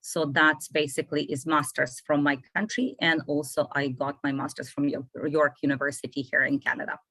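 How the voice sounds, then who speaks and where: A woman, her voice sitting low for a woman. She talks in an animated, conversational way and repeats phrases to stress them. The sound is close and dry, with no room echo. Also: a little fast. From Ukraine. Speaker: immigration consultant, guest. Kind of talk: casual interview talk.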